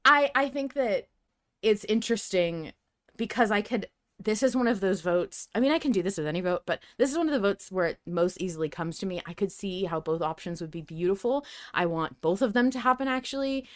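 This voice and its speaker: A woman co-hosting a casual fan discussion, talking in an animated, tangential way and swearing casually. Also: mid-range voice, brisk. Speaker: podcast co-host.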